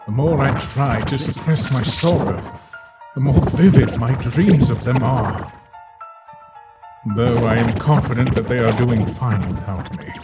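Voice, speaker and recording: Lonely imprisoned scientist played by a male actor: brooding, unhurried delivery, mid-pitched, wistful, echoing over loud music. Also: natural voice. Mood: sorrowful, resigned. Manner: brooding, reflective, formal soliloquy. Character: Gaster, lonely grieving scientist father, long trapped, wistful. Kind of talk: dramatic voice acting for a comic dub. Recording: roomy echo under loud music.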